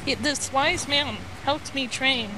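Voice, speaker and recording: High-pitched woman rambling through a story, noisy recording. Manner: rambling, halting, acts out quoted dialogue. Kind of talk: casual improvised storytelling over a game chat. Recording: noisy amateur voice chat.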